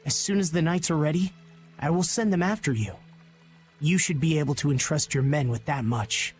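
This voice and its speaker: A man voicing a gentle, earnest young prince in a video game, speaking in a formal, measured, dignified manner. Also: a little high, faint music behind, close to an everyday voice.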